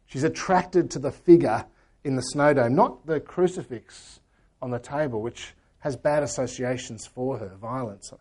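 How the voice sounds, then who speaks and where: Croaky man giving a talk. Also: medium pitch, unhurried. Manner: earnest, often trailing off quietly. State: hoarse. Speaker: speaker at a church talk. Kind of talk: talk with book reading.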